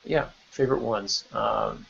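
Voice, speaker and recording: A man's voice: lowish voice, unhurried, gravelly, highs cut off, crowd noise. A man hosting a podcast in a casual, enthusiastic and encouraging way. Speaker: podcast host.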